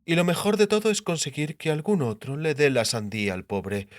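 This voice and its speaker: A man's voice: a little high, fairly quick, no room sound, natural voice. A man voicing a pious, stern queen in an audiobook, solemnly quoting holy sayings to her husband. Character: pious, bespectacled queen, stern and sententious wife. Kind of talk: dramatised audiobook character acting.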